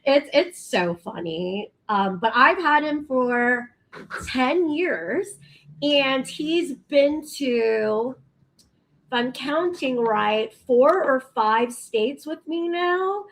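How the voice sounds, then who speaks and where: Slow-talking bubbly woman talk show guest. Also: high-pitched, animated, fairly bright tone, rough recording. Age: 30s. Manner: bubbly, laughs often, chatty with many fillers. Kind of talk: casual chat.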